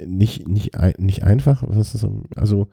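A man's voice: lowish voice, normal speed, gravelly, dark tone, breathy edge, dry recording, studio quality. A man co-hosting a casual cycling podcast, joking and rambling with frequent restarts. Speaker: podcast co-host. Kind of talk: casual chat.

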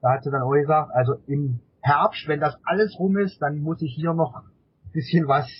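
A middle-aged man with a husky voice answers casually as an interview guest, often repeating words while he searches for phrasing. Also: on the high side, steady tone, normal speed. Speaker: interview guest, amateur endurance cyclist. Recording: dull, lo-fi interview audio.

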